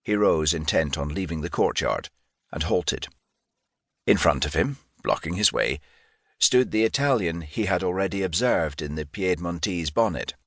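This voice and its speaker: A man's voice: low voice, lively intonation, moderate pace, a bit bright, no room sound, clean studio sound. A man reading historical fiction as a scripted literary audiobook narration.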